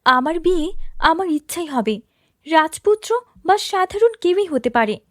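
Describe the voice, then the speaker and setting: Determined young cartoon princess, played by a woman narrator: high, animated, firm and declarative. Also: a little slow, no echo, clean studio sound, full character voice. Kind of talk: storybook cartoon dialogue.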